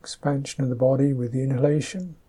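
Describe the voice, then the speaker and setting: Guy leading a meditation, super soft dark voice, bit husky, really slow n gentle w pauses. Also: medium pitch, fairly even intonation. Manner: gentle, unhurried, halting phrases with long pauses. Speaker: meditation teacher. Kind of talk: guided meditation instruction.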